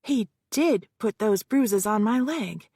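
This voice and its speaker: A woman's voice: medium pitch, lively intonation, slow pace, dark tone, breathy edge, dry recording, studio quality, pitched above the performer's own voice, heavily acted. A woman playing a defensive witness with a Southern California drawl. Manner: defensive, short clipped answers. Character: young woman witness under cross-examination, defensive.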